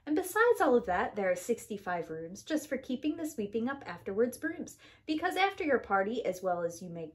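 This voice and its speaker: A woman's voice: fairly high pitch, sing-song, brisk, few pauses. A woman preschool teacher reading a rhyming picture book aloud to young children in an emphatic, sing-song rhythm.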